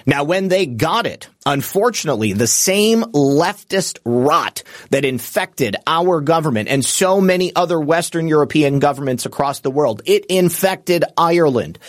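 A man of medium pitch talks straight to the mic in a dry, close-up sound. He hosts a political commentary show and rambles emphatically, full of restarts and fillers, picking up speed in bursts. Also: no echo. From United States. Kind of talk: unscripted political commentary monologue.

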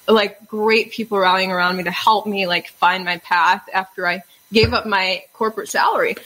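A woman hosting a casual talk show, lively and quick to laugh. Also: a little high, rough recording. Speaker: podcast host. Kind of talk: casual chat.

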